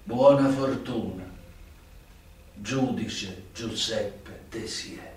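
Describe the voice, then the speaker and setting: An actor playing an old, humble, repentant male mobster turned informant in Italian radio theatre, halting, plain-spoken and grave. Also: fairly low pitch, darkish tone, slight background noise. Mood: humble, fervent. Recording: very echoey, distant, faint music behind.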